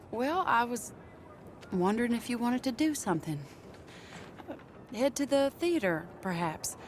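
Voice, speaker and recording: A woman playing a genteel, sharp-tongued, wistful American former sweetheart in a video game cutscene. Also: fairly high pitch, lively intonation, measured pace, pauses often, slight background noise. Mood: tentative, fond.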